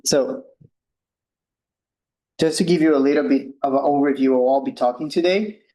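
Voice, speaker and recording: A young man from Brazil with a Brazilian Portuguese accent, giving a relaxed science talk as a postdoctoral researcher, with ums and slightly loose non-native phrasing. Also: mid-range voice, moderate pace, rough recording. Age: young adult. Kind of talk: informal science presentation.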